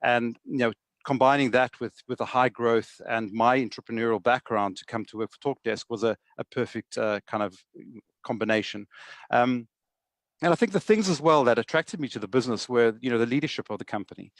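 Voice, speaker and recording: A man's voice: medium pitch, a little fast, no echo. A middle-aged man with a South African accent, a guest in a podcast interview, speaking fluently and often repeating words as he starts a thought. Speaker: guest, sales executive.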